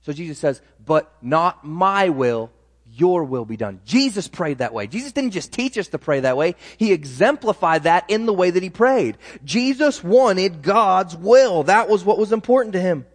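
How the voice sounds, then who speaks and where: A man's voice: high voice, lively intonation, normal speed, big-room sound. A man preaching a sermon as pastor, talking in a conversational way with frequent restarts and asides.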